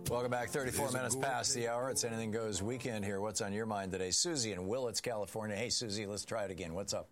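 A man's voice: fairly low pitch, fairly even intonation, quick pace, music in the background. An older man hosting a political call-in radio show, emphatic and often restarting his sentences with "uh" and "and". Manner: emphatic, speaks in restarts and trails of "uh". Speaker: radio talk show host.

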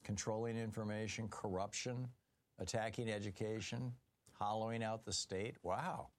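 A man's voice: lowish voice, moderate pace. A man hosting a talk radio call-in show, taking calls and reading items aloud with emphasis. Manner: lively, reads lists aloud with emphasis.

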